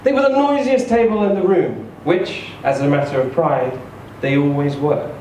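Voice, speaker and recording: A man reading a short story aloud in an expressive, theatrical, emphatic storytelling style. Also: a little high, moderate pace. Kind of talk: expressive live literary reading. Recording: echoey room, distant mic, faint noise.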